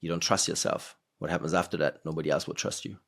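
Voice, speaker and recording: A man's voice: extremely low pitch, fairly even intonation, fast, vocal fry, fairly bright tone. A man hosting a podcast, rambling in casual conversation with many fillers. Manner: rambling, filler-heavy, laughs between points.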